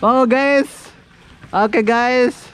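A man in his thirties with a Sundanese accent, giving excited live commentary while fishing outdoors at a pond, often laughing and yelping. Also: very high voice, very slow, slight background noise. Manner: excitable, laughing, yelps when fish bite. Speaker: fishing vlogger.

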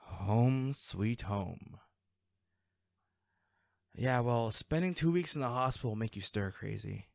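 Protective male bodyguard, played by a man for audio drama: emotional, halting, unhurried, with wide pitch swings. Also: animated, creaky, a little mellow, no echo. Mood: stern, restrained. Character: protective, worried male bodyguard scolding a loved one. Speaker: audio roleplay voice actor. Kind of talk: intimate dramatic audio roleplay.

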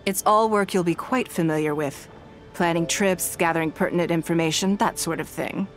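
A poised, commanding noblewoman from a game, voiced by a woman. She speaks formally and graciously, in a lowish, steady voice. Soft music plays behind her. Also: moderate pace, close to an everyday voice. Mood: composed. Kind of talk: game voice acting.

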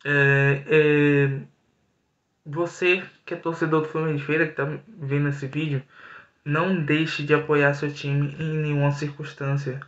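A young man talks earnestly through his analysis of a match, often pausing and restarting his sentences. His voice is bright and a little high, recorded on a webcam in a small room with a noticeable echo. The audio sounds slightly dull. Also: level tone. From Brazil. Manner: earnest, frequent hesitations and restarts. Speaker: football commentator. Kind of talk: solo football analysis to camera.

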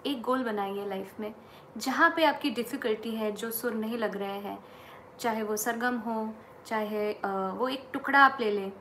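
Indian woman singer, 30s, high-ish voice, earnest advice into a webcam, faint hiss. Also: measured pace, pushed and bright. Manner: thoughtful, earnest, gestures while talking. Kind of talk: casual talk mixing Hindi and English. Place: small indoor room against a plain wall.

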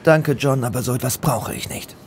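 A man's voice: mid-range voice, normal speed, gravelly, a little hiss or hum, natural voice. An actor playing an inspector's self-assured, dryly teasing male sidekick in a radio play. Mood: dry, confident.

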